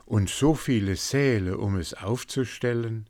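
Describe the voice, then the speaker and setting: A man voicing a faithful, clever servant in a fairy tale, calm and persuasive. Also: lowish voice, measured pace, voice pushed up.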